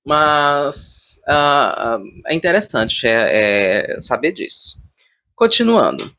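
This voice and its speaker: A man's voice: on the high side, sing-song, takes it slowly, pushed and bright. A Brazilian man giving casual, unscripted commentary as a video reviewer, repeating words and leaning emphatically on his opinions.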